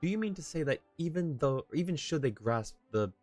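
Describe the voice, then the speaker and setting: A man voicing a pompous, theatrical young princess from a game, with archaic, drawn-out delivery. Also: a little high, lively intonation, darkish tone, pitched above the performer's own voice. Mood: haughty, dramatic. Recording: game music behind.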